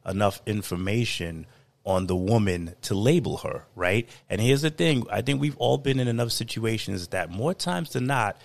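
Gravelly, low-voiced man, reflective, weighs each point. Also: expressive. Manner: measured, reflective, many fillers like "you know". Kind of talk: casual discussion.

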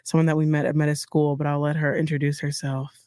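A woman's voice: sits low, flat delivery, fast talker, warm tone, no room sound, clean studio sound. A young woman hosting a podcast, leading the talk in a friendly way and laughing often. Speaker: podcast host. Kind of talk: casual interview chat.

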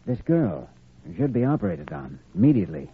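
An American actor playing a determined, compassionate hospital doctor in a 1940s radio drama. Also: male voice, mid-range voice, huge pitch swings, a little fast, vocal fry, breathy edge, heavily acted. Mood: urgent, resolute. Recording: old radio recording, tinny narrow band.

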